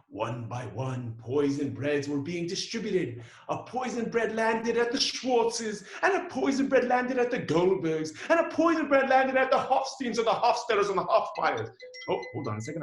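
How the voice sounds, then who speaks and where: A man telling a playful story to children on a video call, jokey and animated. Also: echoey room. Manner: animated, jokey, swooping pitch. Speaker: storyteller for an online Hebrew school. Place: home in Toronto.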